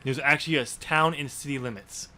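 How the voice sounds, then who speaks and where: An American man in a casual podcast chat, talking haltingly and often restarting his sentences. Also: medium pitch, bright, forceful tone. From New York State, US. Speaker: podcast host and author discussing his novel.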